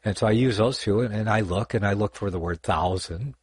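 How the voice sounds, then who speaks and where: Older man, deep voice, slightly husky, rambling livestream Bible talk, dry close sound. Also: a little fast, no room sound. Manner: rambling, self-correcting, lots of fillers. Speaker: online Bible teacher. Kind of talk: casual livestream teaching.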